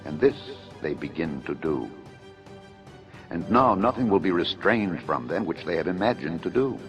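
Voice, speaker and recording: A man with a deep, low voice narrates scripture as for an audio Bible. His delivery is solemn, formal and measured, like a declamation. The sound is slightly dull and lo-fi, with music playing faintly behind him. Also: animated.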